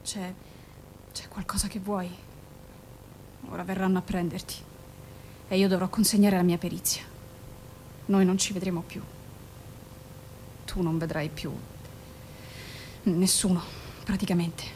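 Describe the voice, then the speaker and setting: An actress in an Italian radio play playing a grave forensic doctor, firm and controlled, with an insistent, blunt way of talking. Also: female voice, fairly low pitch, flat delivery, a little mellow, slight background noise, natural voice, rough recording. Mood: subdued, grave. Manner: measured, insistent, bluntly direct.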